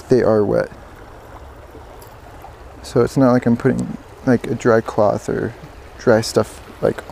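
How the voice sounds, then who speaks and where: A man giving excited, casual fishing commentary, repeating words in bursts, speaking outdoors into a body-worn action camera. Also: medium pitch, vocal fry. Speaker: fishing vlogger. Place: outdoors beside a stream. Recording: outdoor water noise behind voice.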